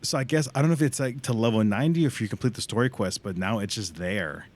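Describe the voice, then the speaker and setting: A man doing casual livestream commentary on a game, informal and sweary, thinking out loud as he plays. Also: mid-range voice, fast talker. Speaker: live game streamer.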